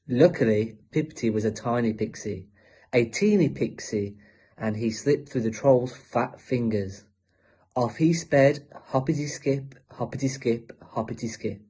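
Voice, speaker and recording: A man's voice: mid-range voice, a little slow, warm tone, husky. A male teacher reading a children's story aloud as a storybook narrator.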